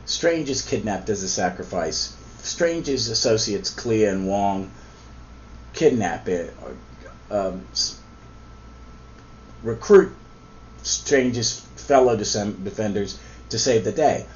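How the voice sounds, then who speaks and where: A man's voice: lowish voice, expressive, slow, pauses often. Man, froggy voice from a cold, casual host, meandering with ums, slightly muffled lo-fi sound. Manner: rambling, with frequent ums and restarts. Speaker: podcast host. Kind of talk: casual comic book review. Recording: slightly dull lo-fi recording with faint noise.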